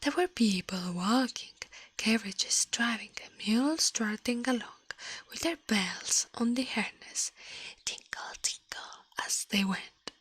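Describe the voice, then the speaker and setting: A woman whispers a storybook tale in ASMR style. Her voice is airy and husky, fairly high for a woman, with a gentle, slightly halting delivery. The sound is close and dry, with hardly any room in it. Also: dry recording. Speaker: ASMR livestreamer reading aloud. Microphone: close microphone, dry sound.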